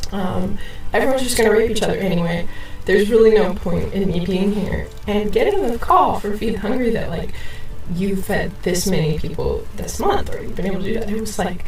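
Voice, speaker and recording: A woman speaking in a heartfelt, earnest conversation, hesitating and repeating words for emphasis. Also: medium pitch. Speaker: podcast host. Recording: very echoey room, distant mic, music behind.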